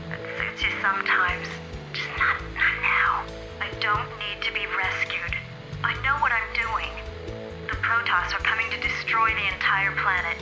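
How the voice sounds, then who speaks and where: A woman's voice: mid-range voice, close to an everyday voice. A woman playing a tough, headstrong military lieutenant in a game, blunt, self-assured and defiant. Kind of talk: dramatic game acting. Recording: echoey, loud music behind.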